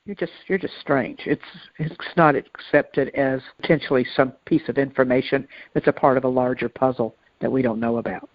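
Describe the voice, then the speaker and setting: A woman's voice: very deep voice, fairly even intonation, normal speed, no echo, dull audio. A woman co-hosting a podcast, chatting casually in a musing, agreeable and somewhat hesitant way. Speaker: podcast co-host. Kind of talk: casual conversational discussion.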